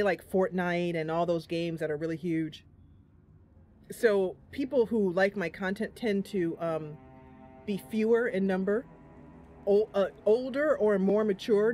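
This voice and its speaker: A woman's voice: medium pitch, darkish tone, slight background noise. A woman hosting a live game stream, chatting casually and narrating in a playful, chatty manner. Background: game music.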